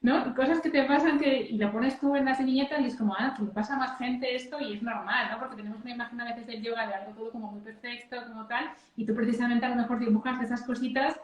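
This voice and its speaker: A woman's voice: a little high, steady tone, fast, few pauses, dull audio. A woman hosting a live interview, asking friendly questions and often checking in with "right?". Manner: affirming, tags questions with "¿no?". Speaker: interviewer and live-stream host. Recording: echoey room.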